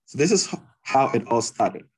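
A young man from Ghana tells his story with eager enthusiasm. His voice sits at an ordinary middle pitch and comes through a video call. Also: big pitch swings, normal speed, slightly rough, low-quality recording. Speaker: PhD student panelist. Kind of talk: presentation over video call.

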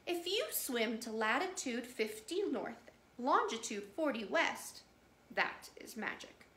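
A woman's voice: on the high side, big pitch swings, takes it slowly, pushed and bright, full character voice. A woman voicing a small, clever male fish who speaks in a polite, coaxing storybook way. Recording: roomy echo.

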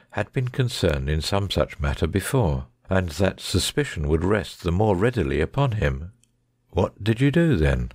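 A man's voice: sits low, vocal fry, a little mellow, no echo, studio quality, heavily acted. A man playing a shrewd, commanding, coolly sardonic detective with precise, formal speech in an audiobook dramatic reading.

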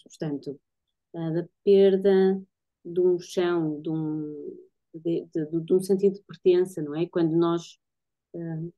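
A woman in her thirties with a European Portuguese accent, thoughtfully explaining her work in conversation, searching for her words. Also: fairly low pitch. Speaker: guest photographer and artist. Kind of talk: casual explanatory conversation about own work. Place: home bedroom-living room, indoors. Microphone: computer mic on a video call. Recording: dull, lo-fi video-call audio.

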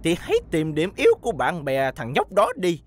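Người phụ nữ lồng tiếng lão trùm thiên tài điên, giọng miền Nam sinh động. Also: female voice, low-pitched, sing-song, a little fast, noisy background. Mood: scheming, gloating. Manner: commanding, theatrical, cackling. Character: imperious, crazed old male evil genius boss. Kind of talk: animated cartoon dub. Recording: music behind the voice.

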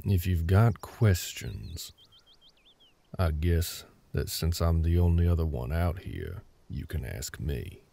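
A man voicing a gruff, terse older cowboy with a Southern US drawl and a gravelly voice in a roleplay audio drama. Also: low-pitched, sing-song, takes it slowly, dark tone, dry recording, full character voice. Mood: grudging, curt. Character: gruff older cowboy ranch hand, grumpy, curt, grudgingly helpful.

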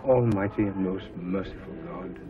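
An actor playing a solemn, earnest college head, speaking and praying in measured old-film oratory. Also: male voice, low voice, noisy background, lightly acted. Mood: solemn, prayerful. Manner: slow, measured, declamatory. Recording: tinny old film soundtrack with music.

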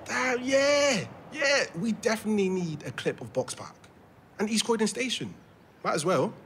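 A man's voice: high-pitched, lively intonation, moderate pace, fairly bright tone, a little hiss or hum. An actor playing a young man in a naturalistic audio drama, matey and colloquial, prickly with his mum. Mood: keen. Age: young adult.

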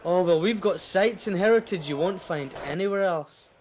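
Guy doing ad voiceovers, pretty high voice, really theatrical, bit of music under it. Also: moderate pace, darkish tone, slight background noise. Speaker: voice-over actor. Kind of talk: advert voice-over reel, acted sales scripts.

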